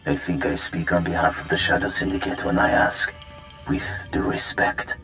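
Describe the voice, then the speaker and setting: Very low, echoing, formal underworld syndicate member, cold, measured, game character. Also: male voice, pushed and bright, exaggerated voice. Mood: cool, formal. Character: cold male alien or droid crime syndicate member. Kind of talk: video game character acting. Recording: echoing voice over faint music.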